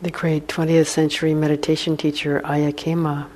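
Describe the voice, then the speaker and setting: A woman meditation teacher leading a guided meditation in a hushed, soothing manner, with long pauses between short phrases. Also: deep voice, level tone. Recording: faint background noise.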